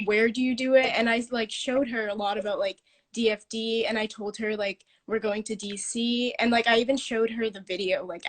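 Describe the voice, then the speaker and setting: Teenage girl answering with lots of "like". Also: fairly high pitch. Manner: thoughtful, many fillers of "like". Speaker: dance student guest. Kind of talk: casual interview answers.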